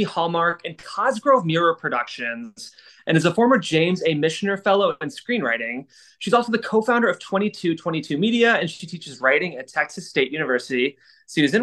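A man's voice: fairly high pitch, lively intonation, a little fast, fairly bright tone. A man co-hosting a podcast in casual chat, talking in an enthusiastic, reflective way. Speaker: podcast co-host and producer.

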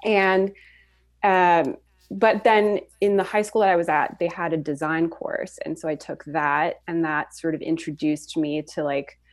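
A young woman from Switzerland, a podcast guest and graphic designer, talking in a relaxed storytelling way with frequent ums and soft laughs. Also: deep voice, unhurried, dry recording. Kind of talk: casual interview chat.

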